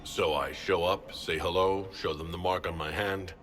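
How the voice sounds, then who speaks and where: A man's voice: sits low, fairly even intonation, normal speed, a bit bright. A man playing a blunt, sceptical fantasy hero in a video game cutscene, asking curt and wry questions.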